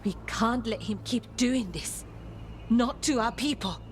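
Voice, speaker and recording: Actress as a blunt, higher-voiced elven scout. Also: female voice, measured pace, slight background noise, close to an everyday voice. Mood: firm, explanatory. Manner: matter-of-fact, blunt. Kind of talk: fantasy game voice acting.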